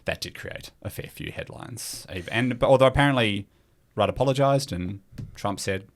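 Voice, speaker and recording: A man's voice: lowish voice, normal speed. An Australian man hosting a quiz in a casual, bantering style. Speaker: quiz host.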